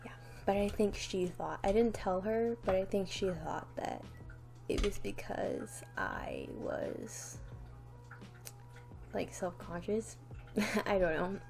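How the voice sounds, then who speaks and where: A woman's voice: medium pitch, takes it slowly, gravelly, pauses often, a little mellow, faint music behind. A young woman vlogger chatting casually to camera with a slight lisp from a new retainer, rambling with lots of "like" and restarts. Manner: rambling, many "like"s and restarts, light laughs.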